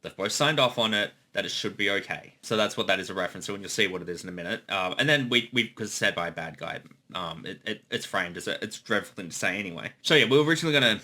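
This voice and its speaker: A man's voice: on the low side, lively intonation, fast talker, hardly any pauses, bright, forceful tone. A man giving casual behind-the-scenes creator commentary, eagerly stammering and repeating words as he explains.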